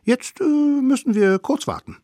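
A man putting on cheeky little cave kids, high and wheedling, lively pitch, dry close sound. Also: a little slow, no echo. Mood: scheming.

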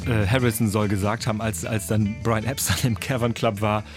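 A man's voice: on the low side, fairly even intonation, brisk. A man co-hosting a casual music chat in a conversational, wry way.

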